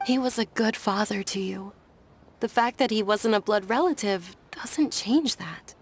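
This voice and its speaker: An actress voicing a gentle young traveler in a game, soft and hesitant. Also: female voice, medium pitch, a little slow, slight background noise, lightly acted.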